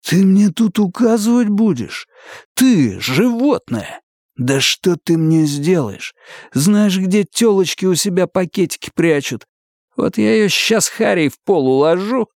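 A man voicing a crazed young male plainclothes drug cop who bullies and shouts threats. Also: high-pitched, animated, measured pace, no room sound, clean studio sound, pitched above the performer's own voice. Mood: menacing, sneering.

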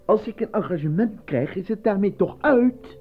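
An actor in a Dutch radio play voicing a proud, defensive, self-important out-of-work actor and husband. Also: male voice, sits high, lively intonation, normal speed, slightly rough. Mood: indignant pride. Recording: dull lo-fi radio recording, faint music.

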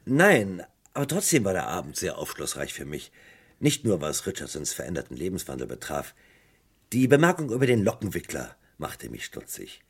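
An actor playing a wry, probing British police detective in a German radio crime play, talking casually with a gravelly, husky voice. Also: male voice, sits low, wildly swooping pitch, normal speed, full character voice. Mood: reflective, reporting. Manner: casual, probing, conversational questioning. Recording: vintage radio play with faint music.

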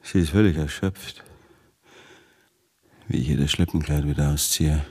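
An actor playing a weary, obsessive, desperate husband, narrating a literary radio drama in halting, deliberate phrases. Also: male voice, rumbling low, a little mellow, slightly rough, full character voice. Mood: weary, bleak. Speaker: actor narrating as lead character.

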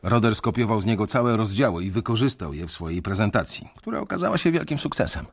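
An actor narrating a radio drama in the first person as a disillusioned young male bank analyst, telling the story in a measured, reflective way. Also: fairly low pitch, very animated, gravelly, husky, heavily acted.

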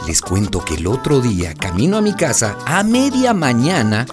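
Radio drama narrator, friendly barrio local, chatty, light music under. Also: male voice, mid-range voice, wildly swooping pitch, moderate pace. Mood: storytelling. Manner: chatty storyteller. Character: friendly neighborhood man narrating his barrio.

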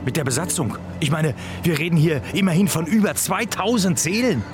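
Man playing an appalled, highish-voiced ship's officer. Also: brisk, music in the background, close to an everyday voice. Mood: appalled, indignant. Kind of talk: radio drama acting.

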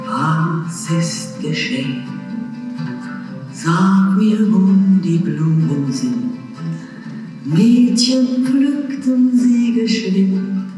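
A woman singing a melancholic folk song in a slow, lingering way. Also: mid-range voice, lively intonation. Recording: echoey distant hall, loud guitar music.

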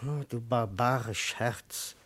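An actor playing a cheeky, hungry servant in a German radio play of a verse comedy, wheedling and theatrical. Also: male voice, medium pitch, slow pace, fairly bright tone, slightly rough. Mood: wheedling, pleading. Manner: theatrical, wheedling, mock-solemn.

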